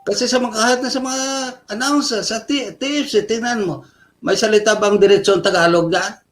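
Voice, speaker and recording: A man from the Western Visayas, a radio guest explaining things in a halting way with many pauses and fillers. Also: high-pitched, fairly even intonation, fairly bright tone. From Western Visayas, Philippines.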